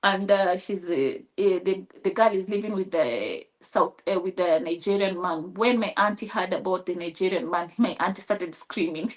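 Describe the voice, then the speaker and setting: A woman from Kenya with a Kenyan accent and Swahili as her first language, telling her story as an interview guest, halting with many restarts and acting out quoted dialogue. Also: fairly low pitch. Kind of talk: personal storytelling in conversation.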